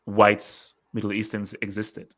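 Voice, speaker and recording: A man who grew up in Nigeria and England, co-hosting a podcast and thinking aloud in halting, restarting sentences. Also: fairly low pitch, completely flat delivery, a bit bright. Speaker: podcast co-host. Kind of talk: casual conversational podcast chat.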